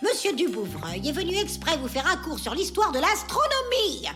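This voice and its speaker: A woman's voice: high voice, very animated, moderate pace, over music. A woman playing an exasperated schoolteacher in a French cartoon, with a theatrical, scolding manner. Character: exasperated adult female schoolteacher, easily scandalised.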